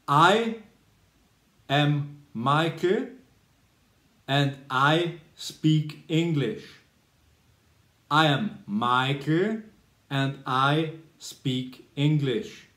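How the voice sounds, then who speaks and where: A male language teacher from Austria speaks at an extremely slow, deliberate pace and repeats every phrase for learners. His voice sits a little high for a man. It rings in a roomy, echoey space. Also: a bit bright. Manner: says each phrase twice, spells words out. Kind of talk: slow repeated beginner lesson drill. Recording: roomy echo.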